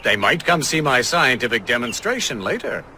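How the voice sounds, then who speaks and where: Game role: chatty, courteous gentleman scientist; bright, animated delivery over background noise. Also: mid-range voice, normal speed. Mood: genial. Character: genial, courteous male scientist and learned gentleman. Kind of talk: dramatic video game cutscene acting.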